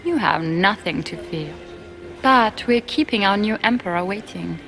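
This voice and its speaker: An actress playing a shrewd, composed elven rebel spymaster in a fantasy game, speaking in a measured, assured way. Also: female voice, medium pitch, expressive, vocal fry, fairly bright tone, soft background music. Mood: earnest, assured. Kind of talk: dramatic fantasy game acting.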